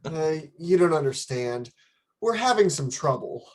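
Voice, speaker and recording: A woman's voice: low voice, animated, unhurried. A woman playing an anxious, hesitant wealthy young bridegroom in a radio play, speaking earnestly. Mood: uneasy.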